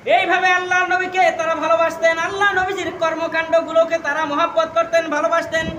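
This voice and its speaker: A man's voice: extremely high pitch, flat delivery, brisk, bright, forceful tone. A man with a Bangladeshi Bengali accent delivers an impassioned, emotive Islamic sermon, telling stories and repeating phrases for emphasis. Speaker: Islamic preacher. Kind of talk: impassioned religious sermon with storytelling. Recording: echoey PA with long reverb, background noise.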